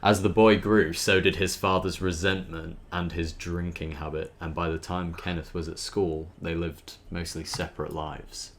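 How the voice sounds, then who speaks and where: A man with a very deep voice reads a story aloud in a loose, dramatic way. He trips on words here and there and drops in offhand comments. There is some background noise on the recording. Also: flat delivery, normal speed, hardly any pauses. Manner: stumbles over words and breaks off to comment. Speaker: host reading a backstory aloud. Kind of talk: informal dramatic reading with chatty asides.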